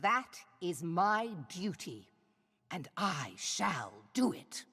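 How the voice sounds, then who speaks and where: An actress playing a resolute, commanding woman admiral in a fantasy game, with firm, formal, measured oratory. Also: fairly low pitch, big pitch swings, fairly bright tone, breathy edge, heavily acted. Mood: grim resolve. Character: resolute, commanding female admiral protecting her people. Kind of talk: dramatic fantasy game acting. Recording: long hall reverb.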